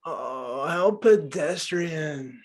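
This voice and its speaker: An actor playing a loud, laid-back surfer dude in a table read. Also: male voice, fairly high pitch, animated, drawn-out words, exaggerated voice. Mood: mocking. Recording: slightly distant video call.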